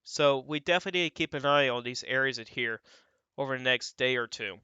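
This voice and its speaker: Young man, stuffy dry throat, casual rambling forecast talk, bright crisp voice, dull dry close mic. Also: mid-range voice, fast talker, no room sound. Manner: loose and conversational, repeats filler phrases. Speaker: weather channel host. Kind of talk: casual weather forecast commentary over model maps.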